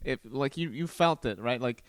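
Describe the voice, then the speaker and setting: A man's voice: mid-range voice, moderate pace, smooth. A man from Rica, hosting a podcast in an easygoing, self-deprecating way. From Costa Rica. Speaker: podcast host. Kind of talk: casual chat.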